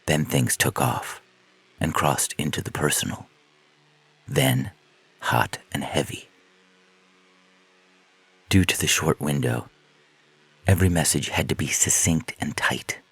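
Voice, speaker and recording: Very deep, husky man narrating a story. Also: slow, pauses often, fairly bright tone, no room sound, rough recording. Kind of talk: scripted narration of science fiction short fiction.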